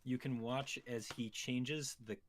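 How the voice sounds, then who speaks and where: A man's voice: medium pitch, fairly even intonation, a little slow, slight background noise. A man co-hosting a gaming show in casual, conversational banter. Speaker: co-host of a gaming radio show.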